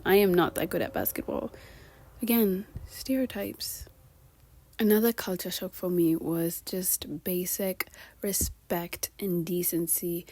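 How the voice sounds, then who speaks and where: A woman's voice: on the low side, dry recording. A young woman with a Kenyan-tinged American accent, chatting casually to camera and acting out the voices of people she quotes. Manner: animated, acts out quoted voices and laughs often. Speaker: vlogger.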